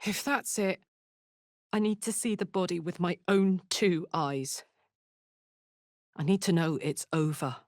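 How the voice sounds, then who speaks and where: A woman voicing a brash, warm-hearted, battle-hardened fantasy warrior in a video game, with a blunt, earthy, emotive delivery. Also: medium pitch, dry recording, clean studio sound. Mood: overwhelmed. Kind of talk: video game character voice acting.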